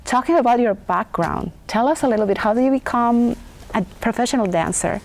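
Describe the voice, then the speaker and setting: A woman's voice: fairly high pitch, a little fast, creaky, darkish tone, slight background noise. A woman hosting a TV studio interview, asking questions with gushing praise and affirming interjections. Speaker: TV interview host.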